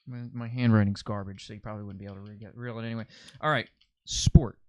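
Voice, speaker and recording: Easygoing Mid-podcast host, mid pitch, dry close mic. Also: male voice, steady tone, fast, no echo. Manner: easygoing, laughs along, restarts often. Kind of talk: casual interview chat.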